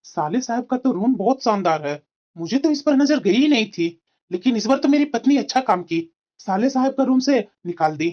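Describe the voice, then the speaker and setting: A woman acts out a grumbling, entitled young son-in-law in a cartoon family drama. The voice is high for the part, pompous and full of complaint, on a dull lo-fi recording. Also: expressive, normal speed, mellow. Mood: smug. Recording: dull, lo-fi sound.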